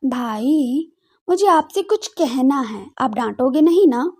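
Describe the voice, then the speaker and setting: A woman's voice: high-pitched, animated, slow, darkish tone, husky, full character voice. A woman voicing an innocent, eager schoolgirl little sister in an audio drama, sweet and pleading. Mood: hesitant, innocent. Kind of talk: audio drama character dialogue.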